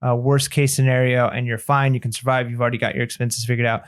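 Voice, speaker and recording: Steady man hosting a podcast. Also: medium pitch, quick pace, clean tone. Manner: steady, explanatory, guides the talk. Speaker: podcast host. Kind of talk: casual chat.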